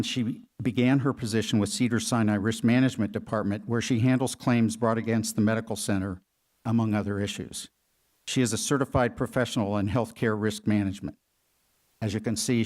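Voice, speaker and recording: A man, the conference moderator, giving a formal, measured introduction from a prepared bio at a podium in a lecture auditorium. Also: fairly low pitch, darkish tone. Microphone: podium microphone.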